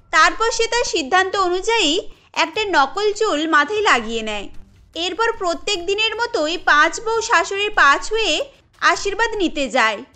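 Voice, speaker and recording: Female narrator for a scripted cartoon story: extremely high, forceful bright tone.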